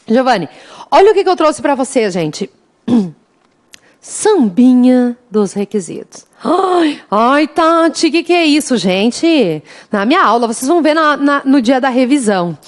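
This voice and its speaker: High-voiced woman teacher with southern Brazilian accent. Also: sing-song, a little slow. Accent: interior Rio Grande do Sul Brazilian Portuguese. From interior of Rio Grande do Sul, Brazil. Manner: chatty, teasing, addresses students warmly as "gente". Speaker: law exam prep teacher. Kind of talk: lively online class, singing mnemonic samba.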